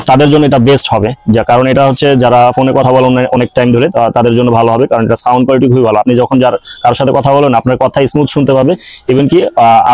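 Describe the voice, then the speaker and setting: Fast-talking Bangladeshi man presenting products. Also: medium pitch, darkish tone, no room sound, rough recording. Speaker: online shop product presenter. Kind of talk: informal product demo sales pitch.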